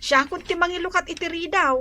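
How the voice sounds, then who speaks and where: An actress in a radio drama playing a cheerful, chatty young daughter with an Ilocano accent. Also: female voice, very high voice, fairly even intonation, brisk, sharp tone, slight background noise. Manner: giggly, chatty.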